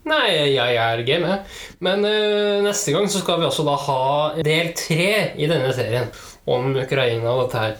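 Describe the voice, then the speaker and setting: A man's voice: a little high, lively intonation, unhurried, a bit bright, echoey room. Laid back guy co-hosting, easygoing, some ehs. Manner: easygoing, with hesitation fillers. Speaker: podcast co-host. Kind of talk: casual chat.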